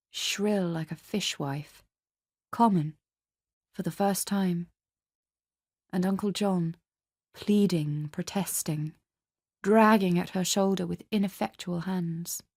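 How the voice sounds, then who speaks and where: A woman's voice: lowish voice, fairly even intonation, takes it slowly, long pauses, a little mellow, no room sound, studio quality. A woman reading a dramatic scripted story as narrator. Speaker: narrator and reader.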